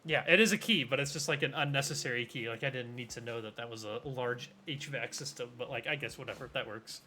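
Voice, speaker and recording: A man's voice: mid-range voice, quick pace, sharp tone, slight background noise. A young man running a tabletop game as game master, rambling with plenty of fillers and restarts. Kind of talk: casual tabletop game narration.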